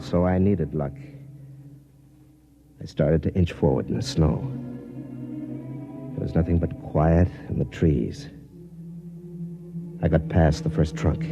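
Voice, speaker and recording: An actor playing a weary, stubborn soldier on a colony world, narrating his story in plain, grim first person, in 1950s radio drama style. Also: deep voice. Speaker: narrator and lead character. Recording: dull old radio broadcast.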